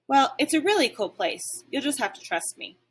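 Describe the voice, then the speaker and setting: A woman's voice: sits high, moderate pace, voice pushed up. A woman voicing a small, eager young male dog in a storybook, chatty, friendly and a bit boastful. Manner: excitable, chatty.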